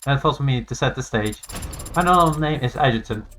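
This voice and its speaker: A man's voice: medium pitch, animated, fairly quick, fairly bright tone, slight background noise, close to an everyday voice. Man voicing a grandiose, flippant robot judge. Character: flamboyant, vain, sulky robot judge presiding over trials.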